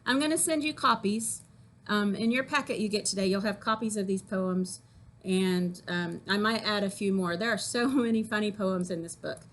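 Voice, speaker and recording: A woman teacher reading aloud expressively and explaining things simply for children, with an animated, folksy delivery. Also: medium pitch, moderate pace, a little hiss or hum. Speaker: teacher reading poems to grade-school children.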